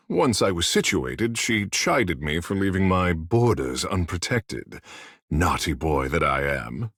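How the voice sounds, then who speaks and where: Husky, flirtatious sea godlike. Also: male voice, lowish voice, fairly bright tone, dry recording, studio quality, exaggerated voice. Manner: florid, formal, teasing speech with dramatic pauses. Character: flirtatious, theatrical male godlike fish-man, tender beneath bravado. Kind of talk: video game character dialogue.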